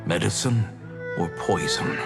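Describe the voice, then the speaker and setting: An actor playing a dying master healer in a video game, solemn, sorrowful and questioning. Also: male voice, low voice, vocal fry. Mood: anguished, questioning. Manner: solemn, slow, rhetorical. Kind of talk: dramatic video game monologue. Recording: very loud music over the voice. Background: loud instrumental game music.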